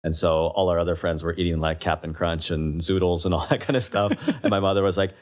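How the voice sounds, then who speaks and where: Deep-voiced Canadian man telling stories. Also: brisk. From western Canada. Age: 40s. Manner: animated storyteller, laughs often, stumbling restarts. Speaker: guest, investment CEO. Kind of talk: casual interview chat.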